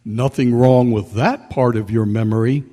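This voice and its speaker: A man's voice: mid-range voice, steady tone, moderate pace, dark tone, natural voice. A man acting a puzzled, formal and courteous military man in an old-time radio play. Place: convention hall, live performance. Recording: reverberant hall stage recording.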